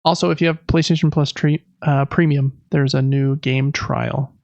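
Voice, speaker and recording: A man's voice: mid-range voice, fairly even intonation, moderate pace, gravelly, a little mellow, no room sound, muffled recording. A man hosting a casual gaming podcast, reading through news lists in a chatty, informal way with personal asides. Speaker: podcast host.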